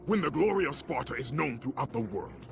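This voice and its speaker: An actor playing a brutal, fierce Spartan warrior in a video game, harsh and blunt. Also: male voice, mid-range voice, expressive, brisk, creaky, music in the background.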